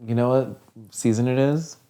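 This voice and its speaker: A young man chats on a podcast in a middle-pitched voice. He keeps up a teasing, sassy back-and-forth and is quick with playful jabs. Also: mellow. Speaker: podcast co-host. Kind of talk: casual chat.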